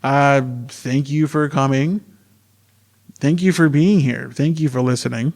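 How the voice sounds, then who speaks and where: A man's voice: mid-range voice, unhurried, darkish tone. A man working as a podcast host and narrator, talking in a plain, informal storytelling way. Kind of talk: slow narration and casual sign-off. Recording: faint music behind the voice.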